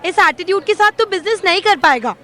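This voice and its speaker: A woman's voice: extremely high pitch, expressive, fast, a bit bright, some chatter behind. An actress playing a bossy, irritable young woman in an audio drama, scolding and mixing Hindi with English. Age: young adult.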